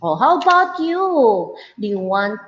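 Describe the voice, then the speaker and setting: A Filipino woman teaching over a webcam, talking slowly and warmly encouraging her learners as she goes through each answer. The sound is dull and lo-fi, with a roomy echo around her voice. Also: a little high, big pitch swings. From Mindanao, Philippines. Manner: encouraging, reads items aloud then explains. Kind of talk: online lesson.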